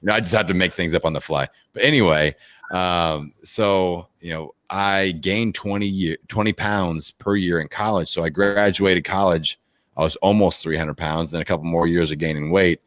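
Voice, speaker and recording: A man with a deep voice talking as a guest in a casual interview, energetic and rambling, with many fillers. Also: moderate pace, no echo, studio quality. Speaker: podcast guest, fitness coach. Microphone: video call.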